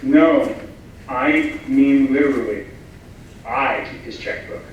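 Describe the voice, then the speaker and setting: A man playing a blunt, deadpan, obliging henchman in a staged comedy reading. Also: medium pitch, slow, dull audio. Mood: matter-of-fact. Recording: very echoey room, distant mic, faint noise.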